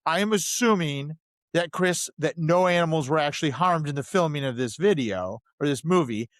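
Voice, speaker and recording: A man's voice: on the high side, expressive, moderate pace, dry recording, studio quality. A man co-hosting a casual film discussion podcast, talking emphatically and repeating phrases for stress. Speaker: podcast co-host.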